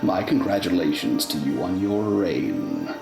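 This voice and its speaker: Sly fae king, villain, low-pitched, pompous, mocking, mannered, echoey, music underneath, radio play. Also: sing-song, unhurried, gravelly, full character voice. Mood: gloating, mocking.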